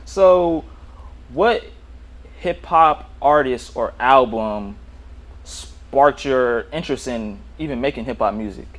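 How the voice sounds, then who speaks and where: A man's voice: mid-range voice, takes it slowly, slight background noise. A man hosting a casual interview podcast, laid-back, often repeating his own phrases. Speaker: podcast host.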